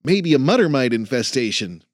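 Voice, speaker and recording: A man's voice: medium pitch, sing-song, moderate pace, dry recording, studio quality, voice pushed up, close to an everyday voice. A man voicing a nervous, eager young male student who talks hesitantly and apologetically.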